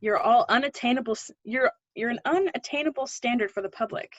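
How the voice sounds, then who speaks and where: A woman reading the part of an older, guarded but protective woman in a play, conversational and gently teasing. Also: a little high, brisk, no room sound, dull audio, natural voice. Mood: wry, teasing. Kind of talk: play readthrough acting.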